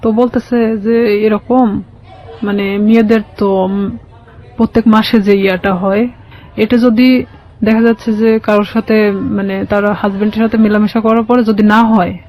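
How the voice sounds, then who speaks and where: A woman's voice: mid-range voice, little pitch movement, unhurried, long pauses, lo-fi sound. A woman with a Bangladeshi accent telling her own life story as an interview guest, colloquially, quoting conversations as she goes. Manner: colloquial, recounts conversations with quoted dialogue. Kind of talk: personal testimony in an interview.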